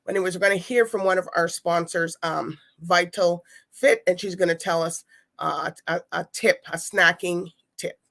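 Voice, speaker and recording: A woman's voice: on the low side, steady tone, normal speed, creaky, fairly bright tone. A woman hosting a talk show, chatting loosely and rambling between segments. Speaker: show host.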